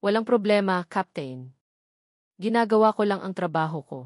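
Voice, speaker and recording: A woman's voice: medium pitch, animated, moderate pace, darkish tone, no room sound, clean studio sound, natural voice. A woman voicing an anxious, evasive young mother who answers politely and defensively in a romance story. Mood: guarded denial.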